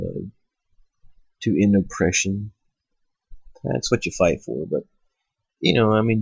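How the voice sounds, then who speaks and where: Man, stuffed-up cold voice, husky, low-ish pitch, blunt profane rant, rambling fillers, dull lo-fi mic. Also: darkish tone, dry recording. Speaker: host. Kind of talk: ranting monologue. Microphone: cheap microphone. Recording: lo-fi home setup.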